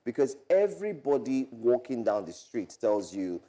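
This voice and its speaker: A Nigerian man in his forties, co-hosting a morning TV show in an animated, chatty way, often trailing off and restarting. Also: mid-range voice, moderate pace. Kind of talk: casual studio chat. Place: large TV news studio.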